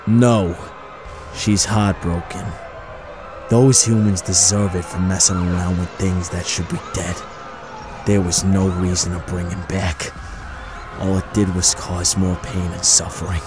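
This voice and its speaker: A man acts out a huge monster worn down by grief. The voice is low and gruff, and the words come slowly and heavily. Dramatic music plays underneath, as in a comic dub. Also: little pitch movement. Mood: weary, resigned. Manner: slow, heavy, sighing delivery. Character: weary, gruff, grieving giant male monster.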